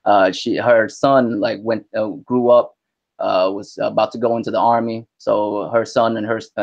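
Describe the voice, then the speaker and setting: A young man from Vietnam, a Vietnamese native speaker, chatting as an interview guest in an animated, slangy way. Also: on the low side, gravelly, dull audio. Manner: animated, slangy, lots of "you know what I mean". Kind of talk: casual interview chat.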